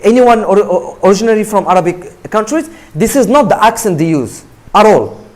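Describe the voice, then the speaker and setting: A man from Morocco gives an emphatic motivational talk to students in English. His voice sits fairly high and swings widely in pitch. He repeats words and keeps asking his listeners "right?". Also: animated, moderate pace, a little mellow. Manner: emphatic, repetitive, asks "right?" often.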